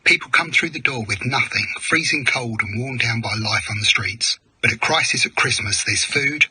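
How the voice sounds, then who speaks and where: Male charity ad read, earnest, very bright and breathy. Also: medium pitch, dry recording, poor audio. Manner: earnest, measured. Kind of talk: scripted radio ad.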